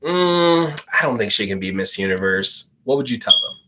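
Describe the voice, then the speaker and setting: A man interviewing a pageant contestant, enthusiastic and quick to praise, with frequent ums. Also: mid-range voice, lively intonation, dull audio, very noisy. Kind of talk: live interview.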